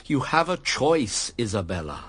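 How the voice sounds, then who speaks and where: An actor playing an earnest, wounded gentleman in love, in a radio drama. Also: medium pitch, sing-song, measured pace, breathy edge, heavily acted. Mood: pleading, urgent. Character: earnest, wounded gentleman pleading with the woman he loves.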